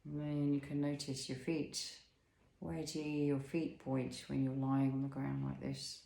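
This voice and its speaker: Creaky-voiced man guiding relaxation, roomy sound. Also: mid-range voice, completely flat delivery. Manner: soothing, unhurried, with pauses and gentle questions. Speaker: Pilates instructor leading a guided body scan. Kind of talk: guided relaxation instruction.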